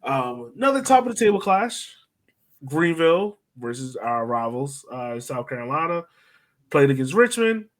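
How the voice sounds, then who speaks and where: A man's voice: medium pitch, big pitch swings, normal speed. A man hosting a casual sports podcast, chatting in a blunt, opinionated way. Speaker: podcast host.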